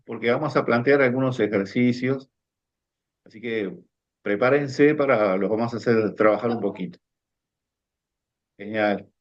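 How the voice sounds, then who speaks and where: A man, a coach co-hosting a talk, speaking earnestly with many restarts. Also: medium pitch. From Uruguay. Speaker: coach and teacher, co-host. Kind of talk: casual conference talk. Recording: dull lo-fi sound.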